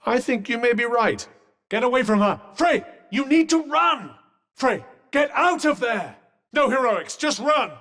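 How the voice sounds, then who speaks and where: An actor voicing a sentient magical vambrace in a video game, a wry, haughty, cautious companion with a British accent and dry, sardonic, formal understatement. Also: male voice, very high voice, fairly even intonation, a little fast, a bit bright. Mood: urgent warning. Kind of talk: video game character dialogue. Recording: long reverberant echo on the voice.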